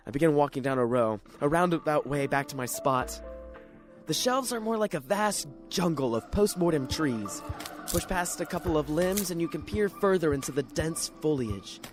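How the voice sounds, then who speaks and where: A performer voicing an excitable, jittery library regular who tells the story in dramatic, self-questioning first person, as in a radio drama. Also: medium pitch, moderate pace, soft background music, pitched below the performer's own voice, natural voice. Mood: brooding, tense. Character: jittery, excitable library regular narrating his own strange chase.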